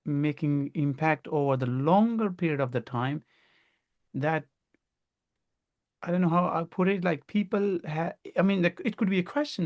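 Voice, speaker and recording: A man with a Pakistani accent and a slightly high voice hosts an interview. He speaks conversationally and haltingly, often repeating and rephrasing as he looks for the right words. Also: a little mellow. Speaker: podcast host.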